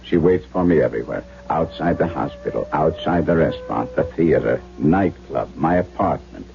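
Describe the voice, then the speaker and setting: A man's voice: very deep voice, moderate pace, gravelly. An actor playing an impatient, harried German doctor who narrates his own story in a theatrical, emphatic 1970s radio drama style. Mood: harried narration. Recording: dull, noisy 1970s radio drama recording.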